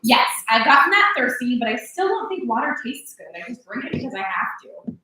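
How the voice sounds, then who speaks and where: A bright voice from a distance in a very echoey room with faint noise, an actress as a young woman with dry wit. She questions everything, in a stage drama. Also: on the high side, very animated, a little fast. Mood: dryly amused. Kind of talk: staged reading of a play. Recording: echoey, noisy, distant mic.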